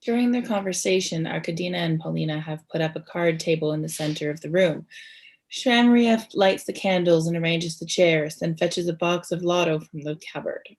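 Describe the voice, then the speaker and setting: A woman narrates stage directions in a play reading over a video call. Her voice is a little on the low side, and she delivers the script as narration. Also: normal speed, a little mellow.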